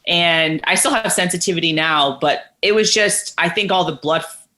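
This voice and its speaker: A woman in her thirties with a naturally deeper voice, speaking as a guest over a video call in a chatty, rambling way. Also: normal speed, bright, forceful tone. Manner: chatty, rambling, lots of likes and restarts. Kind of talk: candid casual interview. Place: living room at home.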